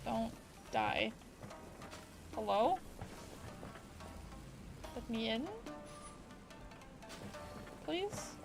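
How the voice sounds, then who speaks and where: A woman's voice: a little high, animated, extremely slow, gravelly, long pauses. A woman livestreaming a video game, chatting casually and playfully to the game and her viewers. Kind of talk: casual gameplay commentary and chat. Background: video game music.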